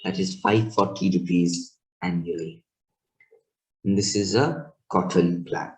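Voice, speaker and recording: Male tech host, deep voice, casual rambling how-to delivery. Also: steady tone, a little slow, a little mellow, poor audio. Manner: loose, rambling explanation with self-corrections. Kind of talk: casual screen tutorial.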